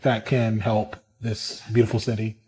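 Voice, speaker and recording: A man's voice: on the low side, a little slow. Brooklyn guy in his thirties chatting away about his life, laughs a lot, easygoing. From Brooklyn, New York. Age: 30s. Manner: animated, chatty, laughs often. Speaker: musician and community organizer, interviewee. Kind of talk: casual interview storytelling.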